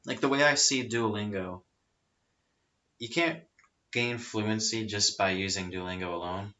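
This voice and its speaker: Low-voiced man in bright casual chat. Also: measured pace. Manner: relaxed, explanatory, with frequent fillers. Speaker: podcast guest. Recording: rough lo-fi audio.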